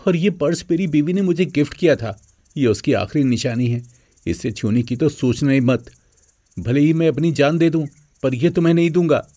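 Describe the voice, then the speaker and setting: A man plays a fatherly middle-aged man in a cartoon moral tale. His voice is husky and dark-toned, and he speaks with earnest, proud conviction. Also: fairly high pitch, expressive, moderate pace. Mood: defiant, agitated. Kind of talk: animated moral story dialogue.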